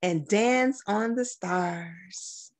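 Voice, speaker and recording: A middle-aged American woman from Detroit, a school principal, doing an expressive storytime read-aloud with deliberate phrasing. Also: mid-range voice. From Detroit, United States. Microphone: webcam at about arm's length.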